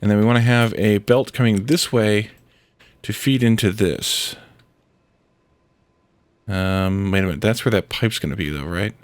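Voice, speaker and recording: A man's voice: on the low side, measured pace, pauses often, no echo. Congested man muttering through a game stream. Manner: thinking aloud, muttering to himself while building. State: congested from a head cold. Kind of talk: casual let's-play commentary.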